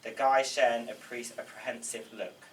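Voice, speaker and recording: A man's voice: mid-range voice, fairly even intonation, moderate pace. A man reading screenplay stage directions aloud at a table read. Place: indoor room, group table read. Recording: slightly noisy room, distant mic.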